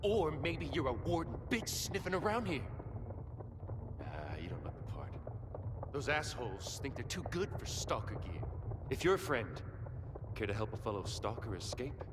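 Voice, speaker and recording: Male actor as a scruffy game survivor, folksy and sardonic, very animated delivery, clear background noise. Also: medium pitch, moderate pace, natural voice. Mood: hostile, suspicious, then wheedling. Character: wary, scruffy male stalker scrounger, cheeky and wheedling. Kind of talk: video game voice acting.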